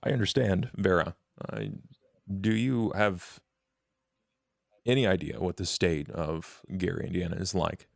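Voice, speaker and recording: A man playing a dry, fussy undead vampire bureaucrat in tabletop roleplay, speaking formally and haltingly with a gravelly, creaky voice. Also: sits low, no echo. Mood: wary, dry. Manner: measured, halting, deadpan, formal wording.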